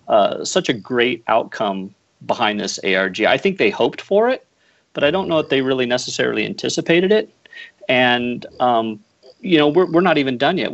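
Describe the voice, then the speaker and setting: A man's voice: medium pitch, vocal fry, lo-fi sound. A man on a call-in panel who wonders aloud in a thoughtful way, with many fillers.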